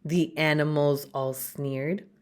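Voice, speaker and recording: A woman reading a children's storybook aloud as expressive narration, with dramatic, deliberate phrasing. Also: sits low, smooth.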